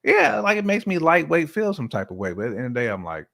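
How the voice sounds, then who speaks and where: A man's voice: fairly high pitch, sing-song, quick pace, creaky, a bit bright. A man from the United States talking casually on a discussion show, with informal slang and frequent checks for agreement. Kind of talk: casual chat.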